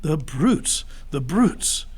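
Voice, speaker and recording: A man's voice: on the high side, big pitch swings, very slow, breathy edge, pitched above the performer's own voice, heavily acted. A man voicing distressed, tender-hearted young girls in a storybook read-aloud, pained and indignant. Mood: tearful indignation.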